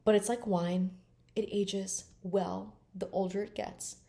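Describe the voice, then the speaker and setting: A woman's voice: mid-range voice, flat delivery, slow, vocal fry, mellow. A woman in her late twenties talking bluntly and emphatically in a candid personal vlog, swearing freely. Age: 20s. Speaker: vlogger.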